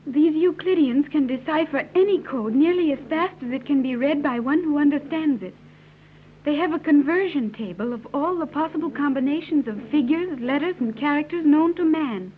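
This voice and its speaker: Woman playing a high-voiced earnest young island girl, old radio. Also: moderate pace, a little hiss or hum, close to an everyday voice. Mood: earnest, curious. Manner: formal, precise, careful diction. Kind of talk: old-time radio serial acting. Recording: tinny, narrow-band vintage radio recording.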